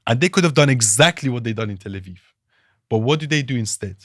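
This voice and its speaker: Iran-born guy on a podcast, pushy and emphatic, mid pitch, dead dry mic. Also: expressive, quick pace, a bit bright, no echo. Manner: emphatic, argumentative, rhetorical questions. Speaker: political analyst guest. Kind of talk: podcast interview.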